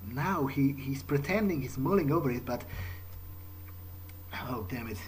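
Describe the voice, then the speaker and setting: A man playing an uneasy vampire newcomer in an in-character game playthrough, thinking aloud in a halting, hesitant way. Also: mid-range voice, expressive, slight background noise, natural voice, rough recording. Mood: uneasy, conflicted. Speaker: let's player. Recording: music behind the voice. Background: game soundtrack music.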